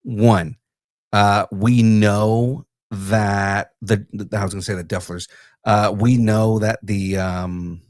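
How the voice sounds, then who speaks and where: A man's voice: on the low side, level tone, takes it slowly, a little mellow, dry recording, studio quality. A man co-hosting a pop culture podcast, giving earnest opinions in casual chat with frequent fillers and restarts. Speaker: podcast co-host.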